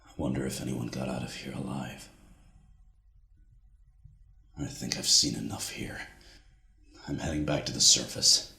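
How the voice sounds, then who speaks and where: A weary soldier with an extremely low voice, speaking into a log in a grave, brooding way. The space is echoey, with long reverb trailing the words. He is a haunted survivor in a radio play, confiding as if alone. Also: fairly even intonation, normal speed, creaky, sharp tone, exaggerated voice. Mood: disturbed. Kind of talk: audio drama monologue as a recorded log.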